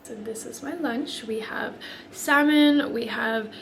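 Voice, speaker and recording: A young woman talking casually through her cooking with a slightly hoarse, croaky voice, full of "like" fillers. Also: fairly high pitch, slow, background noise. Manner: chatty, lots of "like" fillers. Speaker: vlogger.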